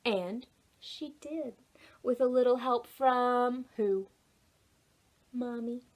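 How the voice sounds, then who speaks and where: A woman's voice: on the high side, slow pace, slight background noise. A woman hosting a library storytime, reading and giving simple instructions expressively for preschool children. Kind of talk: preschool read-aloud and instruction.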